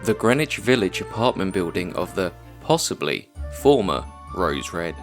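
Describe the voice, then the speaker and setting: Man as a low-voiced formal narrator. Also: big pitch swings, normal speed, soft background music. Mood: formal, announcing. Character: formal scene-setting male narrator. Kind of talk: scripted scene-setting narration.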